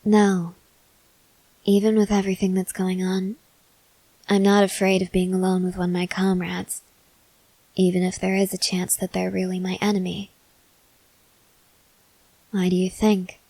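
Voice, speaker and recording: A woman playing a stoic, confident young woman soldier in an ASMR roleplay, speaking in a measured, serious, guarded way with hesitant pauses. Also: fairly low pitch, monotone, warm tone, dry recording, poor audio. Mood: cool, confident. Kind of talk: ASMR roleplay, acted scene to a listener.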